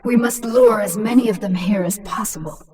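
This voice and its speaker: A woman's voice: medium pitch, normal speed, far from the mic, very noisy, natural voice. An actress playing a cunning, commanding infested alien queen in a video game, sardonic and self-assured. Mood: scheming.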